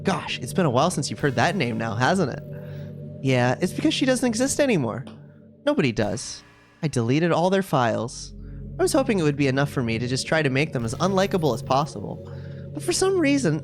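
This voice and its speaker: A man voicing a sweet, possessive, self-aware schoolgirl from a video game in a chatty, cutesy, confiding way. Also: on the high side. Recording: music playing behind the voice. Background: game music.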